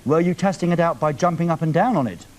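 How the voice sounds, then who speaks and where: A man's voice: a little high, quick pace, slight background noise. A man hosting a live TV show, teasing a caller on the phone with cheeky questions. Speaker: TV presenter. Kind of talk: live TV prank phone call. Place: TV studio.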